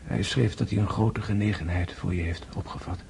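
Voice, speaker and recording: Weary dying nobleman, low creaky voice, tender, halting, radio drama, noisy old recording. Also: steady tone, a little mellow. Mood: weak, gentle. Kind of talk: literary radio drama acting.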